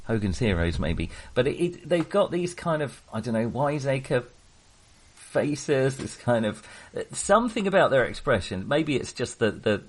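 A man's voice: medium pitch, big pitch swings, a little slow. A man hosting a casual film discussion, speaking hesitantly and often correcting himself as he digresses. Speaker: podcast host.

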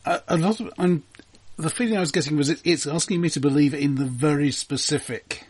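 A man discussing films casually and knowledgeably, in a dry, deliberate way, as a podcast co-host. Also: fairly high pitch, a little mellow, slightly rough. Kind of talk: casual, informed film discussion.

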